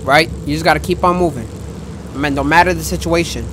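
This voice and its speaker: A young man commentating a horror game in a loud, excitable, trash-talking way, his voice going hoarse, recorded on a headset mic at a home setup. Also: on the high side, brisk, background noise. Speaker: gaming streamer.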